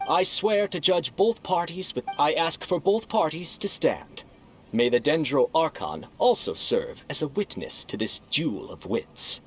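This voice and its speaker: A man's voice: fairly high pitch, animated, unhurried, faint music behind. A man voicing a formal male scholar who opens a debate as its judge. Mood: solemn. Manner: ceremonial, formal announcing. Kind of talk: game voice acting.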